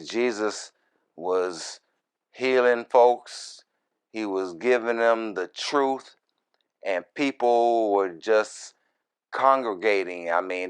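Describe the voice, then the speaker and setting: Slow, low-voiced man teaching scripture. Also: clean tone. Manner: deliberate, broken short phrases, folksy asides. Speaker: minister, Bible teacher. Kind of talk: verse-by-verse scripture reading with informal commentary.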